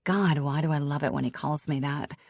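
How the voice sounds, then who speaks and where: A woman narrating a romance novel in the first person, in an intimate, even storytelling manner. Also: sits low, fairly quick, husky, dry recording. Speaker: audiobook narrator.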